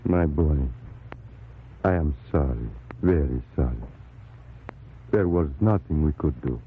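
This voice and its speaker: Sympathetic doctor, very deep voice, sorrowful, old radio play, band-limited recording. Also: male voice, expressive, a little slow, narrow, tinny audio, slight background noise. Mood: regretful. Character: somber, sympathetic colonial doctor. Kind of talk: 1940s radio acting.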